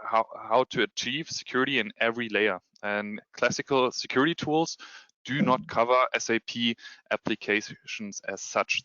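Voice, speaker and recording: German-accented male presenter on a video call, halting and full of fillers, bright crisp tone. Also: fairly low pitch, no room sound, highs cut off. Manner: hesitant, many ums and restarts. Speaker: security consultant presenting a demo. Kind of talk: webinar presentation with screen share.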